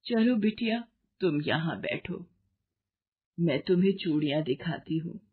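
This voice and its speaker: A woman acts out a poor old mother who sells bangles, kind and frail. She speaks gently and humbly, with affection, as in a storybook drama. The sound is narrow, like a phone line. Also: fairly low pitch, animated, unhurried, husky. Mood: gentle, humble. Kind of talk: acted dialogue in a moral story.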